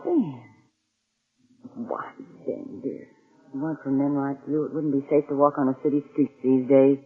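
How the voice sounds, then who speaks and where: A woman's voice: extremely low pitch, fairly even intonation, normal speed. An actress playing a solicitous, earnest middle-aged policeman's wife, loving and morally firm, in an old-time radio drama. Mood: worried, tender. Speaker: radio actor. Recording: narrow, fuzzy vintage radio sound.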